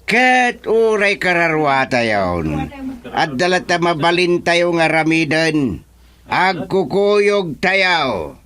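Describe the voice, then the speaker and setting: A man playing a ponderous chairman of departed souls in a radio comedy drama, with a deliberate, presiding manner. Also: a little high, big pitch swings, fairly bright tone, exaggerated voice.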